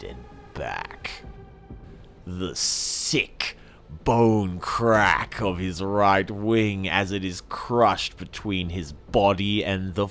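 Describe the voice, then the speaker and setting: A man reading a dramatic story as scripted narration, with a theatrical, savouring delivery and weighty pauses. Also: sits low, vocal fry, fairly bright tone. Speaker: audiobook-style reader. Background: music.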